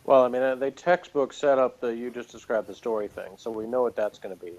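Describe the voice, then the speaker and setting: A man's voice: medium pitch, quick pace, a little mellow, muffled recording. A man co-hosting a casual review chat, commenting in a dry, blunt way.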